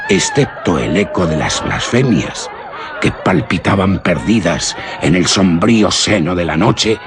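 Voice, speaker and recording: A man's voice: low voice, background noise. A man narrating a gothic horror tale for radio drama in a solemn, theatrical, literary manner.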